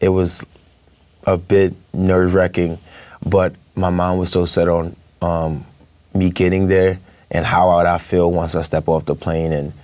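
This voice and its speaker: A man's voice: deep voice, flat delivery, measured pace, darkish tone, no room sound. A young man, a guest in an interview, talking casually with many fillers and easy laughs. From Brooklyn, New York. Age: young adult.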